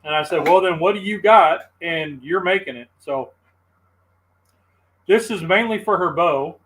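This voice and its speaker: A man with a slightly high-set voice, easygoing and chatty. He talks to his viewers the way he would to friends at home. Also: a little slow, a bit bright, poor audio. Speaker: host of a live cooking stream. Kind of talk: casual live-stream chat.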